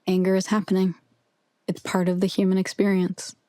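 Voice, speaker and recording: A woman narrating a guided meditation with soothing, measured, gentle instruction. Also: medium pitch, monotone, no echo, clean studio sound.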